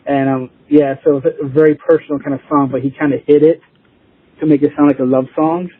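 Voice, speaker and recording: A man chatting casually as an interview guest, informal and rambling, with a husky voice. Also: a little high, level tone, brisk, no room sound. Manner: informal, rambling, chuckling easily. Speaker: interview guest, band musician. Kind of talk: casual chat. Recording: tinny phone-like line.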